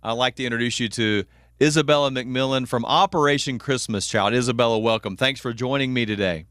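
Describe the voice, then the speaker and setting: Male radio host, mid-pitched, smooth voice, close dry sound, affirming interviewer. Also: a little fast, a bit bright, no room sound.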